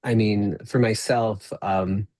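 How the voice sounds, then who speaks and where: A man from Peru whose first language is Spanish, hosting a podcast interview. He talks in a reflective, halting way, restarting phrases and paraphrasing his guest back to her. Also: on the low side, fairly even intonation, darkish tone, no echo, studio quality. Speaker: podcast host. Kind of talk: conversational interview.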